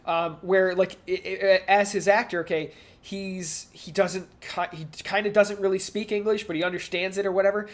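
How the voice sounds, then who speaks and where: A podcast co-host talks in a casual, off-the-cuff way. The delivery is hesitant and rambling, circling back over words, at an ordinary middle pitch. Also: little pitch movement, normal speed, few pauses. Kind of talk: casual pop culture discussion.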